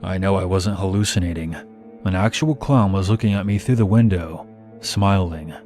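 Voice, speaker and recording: Low-voiced man narrating horror. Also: moderate pace, a little mellow. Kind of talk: scripted first-person horror story narration. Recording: music bed behind narration. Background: background music.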